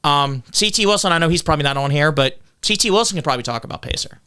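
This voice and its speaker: A man's voice: a little high, expressive, rapid-fire, clean tone. A man, a political candidate on a podcast, arguing back defensively and lecturing on legal details. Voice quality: loud voice.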